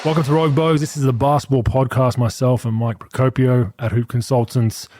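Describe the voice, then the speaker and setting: A man in his late thirties hosting a podcast, chatting about sport in a relaxed, loose way. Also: mid-range voice, brisk, very noisy. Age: 30s. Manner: relaxed, chatty, frequent restarts. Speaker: podcast host. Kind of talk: casual sports chat.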